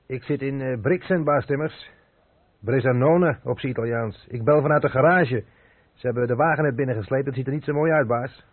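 A man's voice: mid-range voice, fairly quick, dry recording, close to an everyday voice. Man acting hesitant trucker, tinny radio sound. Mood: reporting. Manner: hesitant, colloquial, deferential. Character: loyal, exasperated male truck driver reporting to boss. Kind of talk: radio play dialogue. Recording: dry, thin telephone-like old radio recording.

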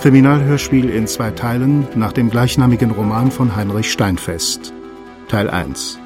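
A man's voice: mid-range voice, a little slow. A man reading out the title and cast of a radio play as a scripted announcement. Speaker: credits announcer. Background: music.

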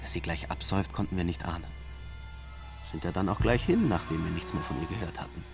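A man's voice: deep voice, quick pace, vocal fry, music in the background. An actor playing an arrogant, cold-blooded man in a radio drama, mock-polite and condescending. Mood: smug, cold. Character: arrogant, cold-blooded male far-right schemer, condescending and menacing. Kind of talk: naturalistic radio drama acting.

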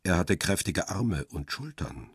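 An actor playing a reflective, world-weary paparazzo of about fifty, narrating a crime radio play in a measured, brooding manner. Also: male voice, deep voice, very animated, breathy edge, dry recording, clean studio sound, full character voice. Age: middle-aged. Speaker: first-person narrator.

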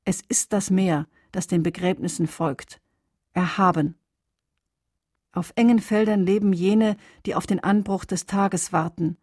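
A woman reciting poetry for a radio drama in deliberate, weighted, declamatory phrases. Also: fairly low pitch, a little mellow, breathy edge, dry recording, studio quality. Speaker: reader in a radio adaptation of a poem cycle.

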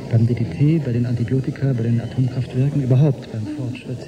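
An actor in a German radio drama playing a sceptical historian aboard a spacecraft, with a dry, ironic manner. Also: male voice, medium pitch, fairly even intonation, fast talker, dull audio, natural voice. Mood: dry, wry. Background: music.

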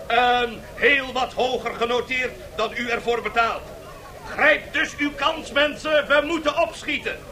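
A male actor playing a brash, mocking auctioneer in a radio play, with loud, theatrical market-barker patter. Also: very high voice, heavily acted. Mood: rousing, sardonic. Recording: faintly noisy 1970s radio drama tape.

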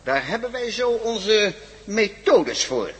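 A man's voice: sits high, big pitch swings, slow pace, exaggerated voice. A man playing a sneering, threatening prison guard in a radio drama, speaking with taunting authority. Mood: menacing. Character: menacing, sneering prison guard holding two foreigners. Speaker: actor in a radio play. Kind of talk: Dutch radio drama acting. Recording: long hollow reverb, clear background noise.

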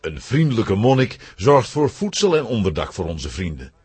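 A man narrating a scripted children's adventure story in an expressive storytelling manner. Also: fairly low pitch, moderate pace, slightly rough, no echo.

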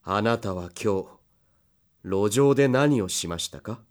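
An actor playing a stern male interrogator in an audio drama, questioning in a formal, measured, insistent manner. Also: lowish voice, animated. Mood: cool, insistent. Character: stern, controlled male interrogator, coolly jealous lover. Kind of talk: Japanese audio drama acting. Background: music.

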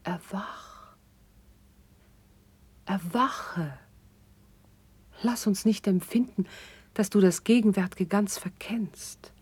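An actress playing a gently chiding noblewoman in a classical verse radio play, in a hushed, breathy voice. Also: medium pitch, takes it slowly, long pauses, dry recording. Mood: coaxing, chiding.

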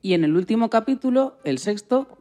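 A woman's voice: fairly high pitch, animated, fairly quick, dark tone, faint music behind. A woman co-presenting a show, reading scripted information aloud. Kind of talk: scripted explanatory narration.

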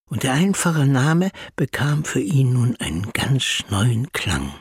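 A man's voice: medium pitch, big pitch swings, slow, husky, dry recording, studio quality. A man reading scripted literary prose as a narrator.

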